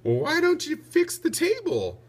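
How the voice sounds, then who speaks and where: A clever, practical medieval noblewoman, acted out by a man in a storybook. The voice sits very high in falsetto, and she offers her ideas helpfully. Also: huge pitch swings, a little slow, exaggerated voice. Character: Lady Di, a clever, practical woman of a medieval court. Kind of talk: children's storybook read-aloud.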